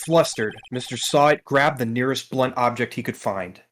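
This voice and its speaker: A woman's voice: extremely low pitch, moderate pace, a little hiss or hum. A woman voicing a nervous, earnest young male rookie defense lawyer in a video game. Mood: sober, narrating. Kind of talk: video game dub with inner narration.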